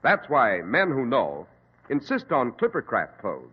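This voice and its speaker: An American man hosting a radio show and pitching its sponsor in polished, folksy 1940s advertising patter. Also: wildly swooping pitch, unhurried, a little hiss or hum. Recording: tinny, echoey old radio broadcast.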